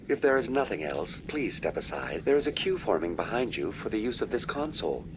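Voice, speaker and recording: A man voicing a polite, detached virtual assistant in a video game, speaking in a formal, measured way. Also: on the low side, very animated, background noise. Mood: impassive, formal. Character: polite, impassive male virtual assistant, unfailingly procedural.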